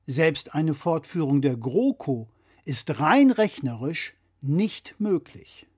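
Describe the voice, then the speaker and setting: A man's voice: on the high side, big pitch swings, takes it slowly, darkish tone, husky. A man presenting a scripted political explainer in a didactic, critical way.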